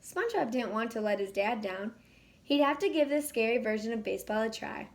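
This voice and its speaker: A woman reading a children's picture book aloud in an expressive, animated way. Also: a little high, fairly quick. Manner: animated, acts out dialogue. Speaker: children's read-aloud storyteller.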